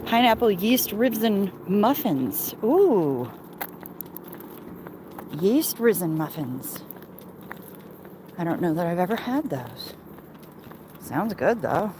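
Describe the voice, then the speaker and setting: Casting: American woman, casual livestream host, slow rambling delivery, recorded outdoors with clear background noise. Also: medium pitch, sing-song. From Michigan, United States. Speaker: livestream host chatting with viewers. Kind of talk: casual livestream chat while walking.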